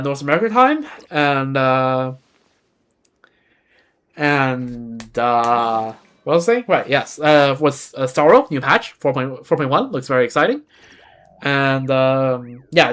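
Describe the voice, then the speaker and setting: A man's voice: a little high, a bit bright. A young man, a native Chinese speaker from Beijing, chatting casually as a game streamer in a rambling, self-correcting way. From China (Beijing).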